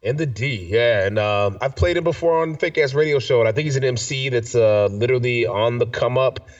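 A man's voice: medium pitch, a little fast. A man hosting a casual show, slangy and enthusiastic, sounding nasal and stuffed up with a cold, repeating himself for emphasis. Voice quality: nasal, congested. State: sick with a stuffy nose. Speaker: radio show and podcast host. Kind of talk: casual chat. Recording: distant mic, dull lo-fi sound.

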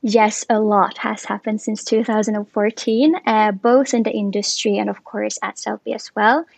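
A woman from Finland, her voice carrying a touch of vocal fry. She explains her work in a relaxed interview manner, with frequent fillers along the way. The recording is dry and close, with no room sound. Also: medium pitch, normal speed, dry recording. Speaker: guest, commercial lead. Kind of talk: podcast interview.

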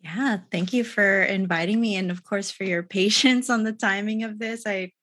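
Reflective woman coach, soft laughs. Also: medium pitch, normal speed. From Philippines. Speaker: guest coach. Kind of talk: casual podcast conversation.

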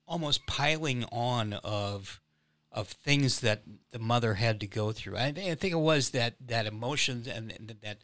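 A man speaking as a playwright guest on a podcast, reflective and hesitant, pausing with fillers. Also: on the low side, bright, forceful tone, dry recording, clean studio sound. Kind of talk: casual podcast chat.

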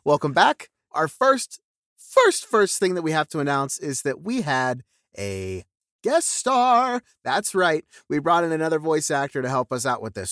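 Man, tired voice, casual effusive announcer, animated pitch, dry close sound. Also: no echo. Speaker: show cast member and editor. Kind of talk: casual post-show announcement.

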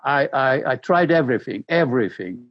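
An older man from Sweden with a Swedish accent, speaking English as a non-native and recounting his life in a halting way, repeating words as he searches for them. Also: mid-range voice, lively intonation, no room sound, highs cut off. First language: Swedish and Danish. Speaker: interview guest.

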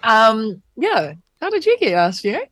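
A woman's voice: a little high, sing-song, a little slow, a crowd in the background. Playful New Zealand woman with a big voice. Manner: playful, jokey, says "eh". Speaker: rugby player and show host. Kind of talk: casual interview chat.

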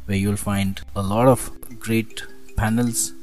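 A man's voice: on the low side, little pitch movement, slow pace. A man plugging a website and its events, with a few fillers. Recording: voice under loud music.

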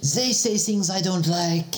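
A man playing a hot-headed, proud male French diplomat with a put-on French accent and a theatrical, indignant manner. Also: a little slow. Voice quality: theatrical, sweeping pitch. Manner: indignant, grandiose. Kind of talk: comedy sketch acting.

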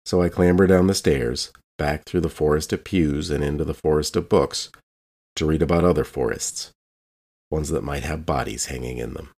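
A man playing a brooding, solitary character in a measured, reflective first-person scripted monologue for a tabletop game. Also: extremely low pitch, darkish tone. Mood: brooding, wistful. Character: brooding, solitary male bell-tower dweller, wistful narrator.

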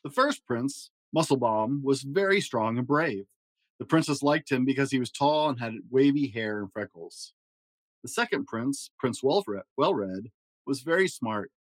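A man reading a children's fairy tale aloud in a gentle storytelling manner. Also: animated, a little slow, no echo, low-quality recording. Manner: gentle storytelling with varied pitch for emphasis. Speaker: storybook reader.

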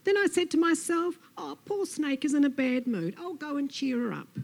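A fussy lizard friend from a children's storybook, as a woman acts it out. The voice is very high and cooing, pushing sympathy with melodramatic insistence. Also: lively intonation, normal speed, gravelly. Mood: cooing, concerned.

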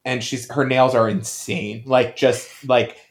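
A mid-pitched man chats as a podcast panelist about pop culture. His delivery is campy and wry, leaning emphatically on key words in a loose, conversational style. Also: fairly even intonation, a little slow. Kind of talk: casual pop-culture commentary.

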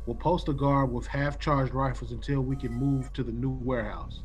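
A man's voice: mid-range voice, moderate pace, slight background noise, close to an everyday voice. An actor playing a decisive, commanding male executive of a space colony in an old-time radio science-fiction drama. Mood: commanding, resolute. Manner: commanding, firm.

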